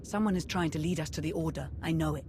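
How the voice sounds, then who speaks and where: A woman's voice: deep voice, quick pace, background noise, close to an everyday voice. A woman playing a dutiful military adviser in a sci-fi video game, earnest and businesslike. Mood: focused, cautious. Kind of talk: scripted video game acting.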